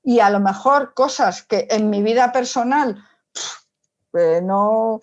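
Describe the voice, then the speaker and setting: Emphatic woman, high voice, lo-fi sound. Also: takes it slowly. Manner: emphatic, forceful, informal, with rhetorical questions. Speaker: psychologist, interview guest. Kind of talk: conversational interview, explaining opinions. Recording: dull lo-fi audio.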